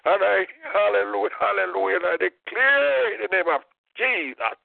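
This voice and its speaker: A man's voice: high voice, moderate pace, gravelly, a little hiss or hum. A man leading a fervent intercessory prayer, impassioned, repeating "oh God" and "in the name of Jesus". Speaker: prayer leader. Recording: tinny phone-line prayer call.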